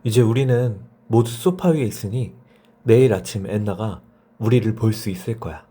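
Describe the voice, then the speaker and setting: Guy doing a supportive toy leader in a kids bedtime story, soft husky voice. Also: fairly low pitch, normal speed. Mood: relieved. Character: warm, encouraging leader of living toys. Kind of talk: children's bedtime story character voice.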